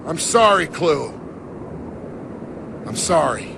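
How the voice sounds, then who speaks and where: A man playing a weary older father and creator in a game cutscene, speaking in a gentle, measured, regretful way. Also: a little high, very animated, creaky, background noise, exaggerated voice. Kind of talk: dramatic game cutscene acting. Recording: music behind the dialogue.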